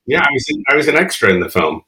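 A man's voice: medium pitch, big pitch swings, racing, sharp tone, poor audio. A man in his fifties from the Bronx whose first language is Spanish, a guest telling reflective, rambling stories with frequent laughs. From the Bronx, New York. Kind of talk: casual interview chat.

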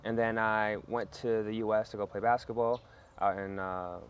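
A man's voice: lowish voice, fairly even intonation, normal speed, a little hiss or hum. A laid-back man in his thirties from Canada, a French native speaker, talking casually as a podcast guest about his business. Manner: laid-back, says "bro" a lot. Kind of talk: casual interview chat.

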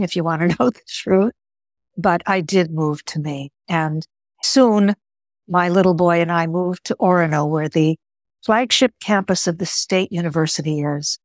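A woman in her sixties, a Greek native speaker, talking as an interview guest in a measured, reflective way, often restarting her words. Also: fairly low pitch, dry recording, clean studio sound.

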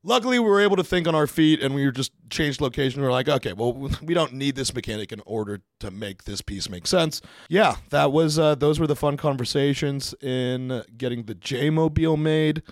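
A man telling work stories in a casual, animated way, swearing freely and acting out the conversations as he goes. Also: mid-range voice, brisk, few pauses, no echo. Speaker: podcast studio staff member telling work anecdotes. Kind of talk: casual storytelling to camera.

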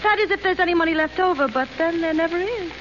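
A woman's voice: very high voice, fast, a bit bright. An actress playing a giddy, naive young society woman who rambles breezily, in 1930s screwball radio style. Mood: naive, chatty. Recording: dull, hissy 1930s radio recording.

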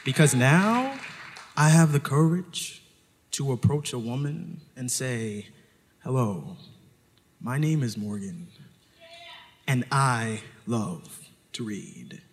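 A man's voice: medium pitch, animated, slow, long pauses, a little hiss or hum. A man telling a story live on stage, theatrical and dramatic, with pointed emphasis, recorded in a hall.

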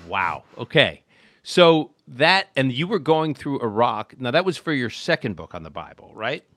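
A man hosting a podcast interview, friendly and halting, restarting his sentences as he leads the guest. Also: medium pitch, very animated, a bit bright, dry recording, studio quality. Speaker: podcast host.

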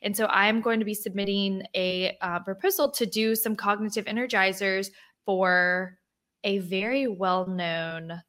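A woman co-hosting a podcast in a casual chat, upbeat and quick to laugh. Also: medium pitch, fairly even intonation, a bit bright. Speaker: podcast co-host.

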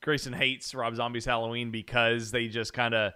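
A man's voice: mid-range voice, little pitch movement, normal speed, pushed and bright, clean tone. A man hosting a podcast, trading casual banter in a conversational way. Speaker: podcast host.

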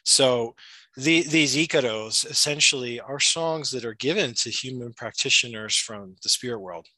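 A man's voice: mid-range voice, normal speed, pushed and bright, no room sound, low-quality recording. A middle-aged man from the United States, a shamanic practitioner, answering interview questions in a thoughtful way with many fillers and restarts. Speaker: shamanic practitioner and retreat facilitator. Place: Peru.

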